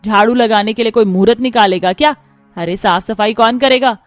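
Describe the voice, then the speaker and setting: A woman acts out a cruel stepmother in a cartoon palace tale. She scolds loudly with biting sarcasm and contempt, over music. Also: fairly high pitch, brisk, no echo, lightly acted. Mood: scolding, contemptuous. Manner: sharp, sarcastic nagging. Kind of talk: cartoon story voice acting.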